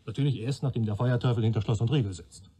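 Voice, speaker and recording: An actor playing a keen young police trainee in a German radio play, arguing in a wry, sardonic way. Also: male voice, mid-range voice, quick pace, warm tone, slightly rough, lightly acted. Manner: wry, argumentative.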